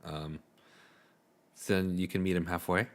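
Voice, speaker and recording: A man working as game master, improvising casual narration in a halting, easygoing, filler-heavy way. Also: low voice, little pitch movement.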